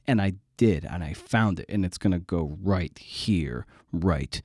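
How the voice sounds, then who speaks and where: A man hosting a podcast in casual conversation, halting and rambling as he circles toward his point. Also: deep voice, expressive, a little mellow, breathy edge, no echo, studio quality. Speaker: podcast host. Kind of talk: casual interview chat.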